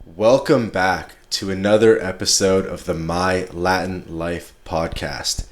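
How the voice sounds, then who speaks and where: A man's voice: sits low, steady tone, slow. A man hosting a podcast in a casual, laid-back chat, talking in slangy everyday English. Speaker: podcast host.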